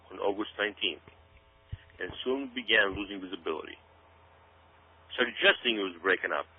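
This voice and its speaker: A man giving radio commentary straight to his listeners, speaking emphatically and dramatically, repeating himself and often checking in with "okay?". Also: fairly low pitch. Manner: dramatic, hyping build-up. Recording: tinny phone-like sound with hum.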